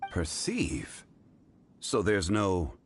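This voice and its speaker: Casting: measured, learned game gentleman, low voice, formal and analytical, music underneath. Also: wildly swooping pitch, exaggerated voice. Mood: thoughtful. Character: composed, scholarly gentleman investigator, measured and analytical. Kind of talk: video game voice acting.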